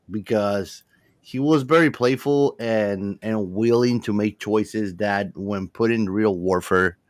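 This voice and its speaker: A man's voice: fairly low pitch, no echo. Opinionated man talking film, very dry sound. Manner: halting, wordy, opinionated, swears. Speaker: podcast co-host discussing a film. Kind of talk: casual film discussion.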